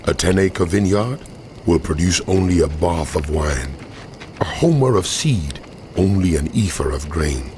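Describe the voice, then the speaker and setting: A man's voice: low voice, animated, measured pace, darkish tone, a little hiss or hum. A man playing God as a grave, commanding lord pronouncing judgment in a dramatized scripture recording. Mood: solemn warning. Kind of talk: dramatized scripture audio acting.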